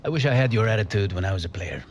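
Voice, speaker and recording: A middle-aged football coach in a dramatic game cutscene. His voice is on the low side, earnest and reflective, with soft music underneath. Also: expressive, rapid-fire, muffled recording. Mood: approving. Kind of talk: dramatic game cutscene acting, measured speeches.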